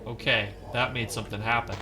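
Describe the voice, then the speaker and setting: A man's voice: mid-range voice, fairly even intonation, a little fast, background noise. A man casually commenting as he plays a game, wry and self-deprecating, with half-mumbled asides. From not from Maine. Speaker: gaming streamer.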